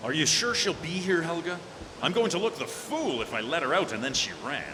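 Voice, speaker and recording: Man doing a smug male crook, wheedling, highish animated voice, vintage radio drama, echoing hall. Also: brisk. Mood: eager, sweet-talking. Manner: condescending, sugary wheedling. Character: oily, smug male crook, gullible double-crosser. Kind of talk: old-time radio drama stage acting. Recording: noisy, echoey live hall recording.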